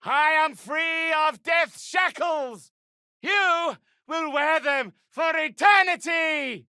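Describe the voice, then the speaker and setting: An actor playing a vengeful, exultant undead necromancer in a video game battle, declaiming theatrically. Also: male voice, extremely high pitch, dry recording, studio quality, exaggerated voice. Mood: triumphant rage. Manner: shouted, theatrical, drawn-out declaiming. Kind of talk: video game character acting.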